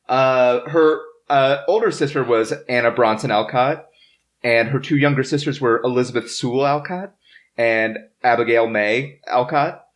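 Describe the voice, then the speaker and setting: A man's voice: mid-range voice, normal speed. A man hosting a podcast, chatting casually as he reads from notes, with wry, joking asides. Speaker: podcast host. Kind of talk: casual informative chat, reading from notes. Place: in-person group around a table.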